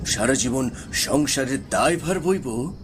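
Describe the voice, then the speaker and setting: A man's voice: mid-range voice, animated, unhurried, background noise, pitched above the performer's own voice, lightly acted. A man voicing a cold, resentful young brother in a horror cartoon, bitter and conspiratorial.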